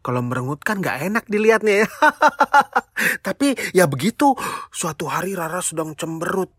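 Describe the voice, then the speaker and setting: A storyteller telling a children's tale in an expressive, playful way, speaking straight to young listeners with exclamations and questions. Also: mid-range voice, moderate pace, slightly rough, no room sound, clean studio sound. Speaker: children's storyteller, show host.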